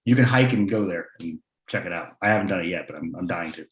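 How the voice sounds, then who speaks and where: A man speaking conversationally as a playwright on a panel, in a halting way with restarts. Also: low-pitched. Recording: echoey room sound.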